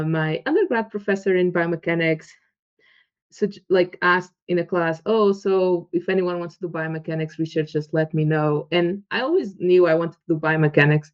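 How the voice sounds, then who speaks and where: A woman in her thirties from Portugal, speaking with a Portuguese accent as a guest researcher in a casual interview, animated and quick to act out quoted dialogue with laughs. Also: on the low side. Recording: muffled lo-fi audio.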